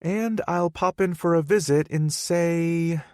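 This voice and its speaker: A man's voice: a little high, takes it slowly, darkish tone, dry recording, studio quality, pitched above the performer's own voice. A man voicing a playful, teasing young woman in an audiobook, raising his voice to sound bubbly and pleading. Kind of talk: audiobook character voice.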